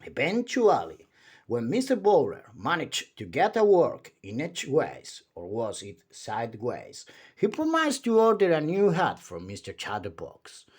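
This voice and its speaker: Male storybook reader, highly varied intonation, theatrical delivery for young listeners. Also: a little slow, slightly rough. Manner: animated, theatrical. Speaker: storyteller reading aloud. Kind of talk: expressive children's storybook read-aloud.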